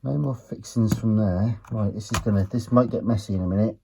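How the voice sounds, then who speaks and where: A man's voice: fairly low pitch, a little fast, gravelly, background noise. A British man hosting a hands-on gadget video, chatting casually and thinking aloud as he goes. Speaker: host of a retro electronics teardown video. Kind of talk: casual hands-on commentary while examining a gadget.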